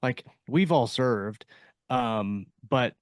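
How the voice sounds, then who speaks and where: A man co-hosting a casual panel discussion, talking in a halting, hesitant way as he works toward his point. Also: medium pitch, smooth, no echo, studio quality. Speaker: podcast co-host.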